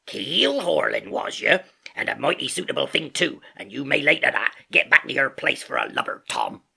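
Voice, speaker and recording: A man voicing a one-legged pirate landlord in an audiobook, hearty, sly and blustering, with rough, breathy, salty sailor talk. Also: mid-range voice, huge pitch swings, moderate pace, pushed and bright, heavily acted. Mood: stern, interrogating. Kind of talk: audiobook character acting.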